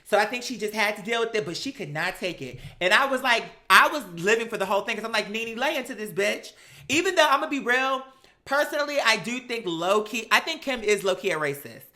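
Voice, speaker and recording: A man's voice: sits high, lively intonation, quick pace, pushed and bright. An American man hosting a livestream, chatting casually and giving opinions in an emphatic, slangy, conversational way. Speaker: livestream host. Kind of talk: casual opinionated chat to live viewers.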